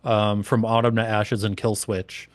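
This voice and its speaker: A man's voice: on the low side, level tone, unhurried, no echo. A man from chatting casually, telling stories and swearing now and then. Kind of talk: casual chat.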